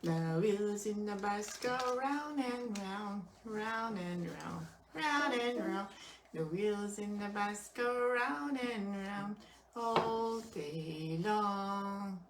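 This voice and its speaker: A woman voicing a friendly, playful potato puppet in a children's puppet show, asking gentle, encouraging questions. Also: medium pitch, very slow. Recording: roomy, distant microphone, clearly noisy home recording.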